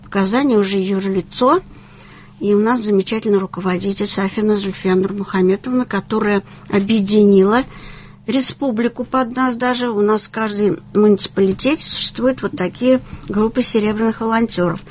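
A woman's voice: mid-range voice, fairly even intonation, brisk, darkish tone. A woman in her sixties from Tatarstan in Russia, talking enthusiastically and at length in a conversational interview as a guest. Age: 60s. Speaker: senior volunteer, interview guest.

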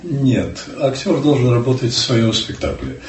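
An older man from Ukraine, a stage actor, telling stories in an unhurried, emphatic way during an interview. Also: mid-range voice, expressive, a little mellow, reverberant, recorded from a distance. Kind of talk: reflective interview answers.

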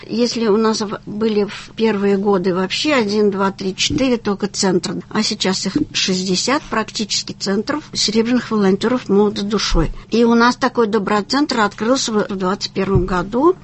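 A woman's voice: medium pitch, moderate pace, few pauses, a little hiss or hum. A woman in her sixties from Tatarstan in Russia, talking enthusiastically and at length in a conversational interview as a guest. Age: 60s. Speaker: senior volunteer, interview guest.

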